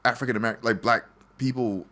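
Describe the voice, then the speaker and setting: A man's voice: mid-range voice, steady tone, quick pace, sharp tone. A man from Singapore hosting a casual podcast chat, joking and cursing freely with plenty of fillers. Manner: loose, joking, profane, lots of fillers. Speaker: podcast host.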